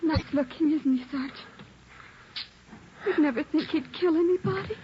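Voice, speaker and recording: An actress playing a tired, frightened wife who speaks softly in a 1940s radio drama. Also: female voice, sits high, moderate pace, slight background noise, close to an everyday voice. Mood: wistful. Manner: soft, weary and subdued. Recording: dull old radio transcription.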